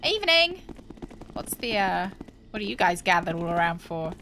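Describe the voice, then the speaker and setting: A woman's voice: lowish voice, big pitch swings, moderate pace, sharp tone, a little hiss or hum. A woman streamer with a congested, snotty voice, chatting and apologizing cheerfully while she plays a game. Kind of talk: casual gameplay commentary.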